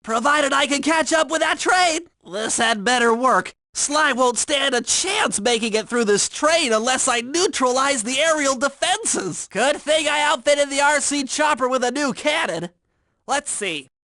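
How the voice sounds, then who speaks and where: A man's voice: very high voice, lively intonation, unhurried, pushed and bright, no room sound, heavily acted. A man voicing a nerdy, anxious young male turtle inventor and hacker in a video game, speaking in precise, wordy technical phrases. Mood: confident.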